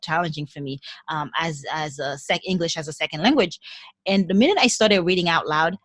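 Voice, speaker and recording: A woman from Haiti with a Haitian accent, a podcast guest talking in a lively way and often repeating words as she gathers her thoughts. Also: fairly low pitch, dry recording. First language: French and Haitian Creole. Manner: animated, repeats words while thinking. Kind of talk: casual interview conversation.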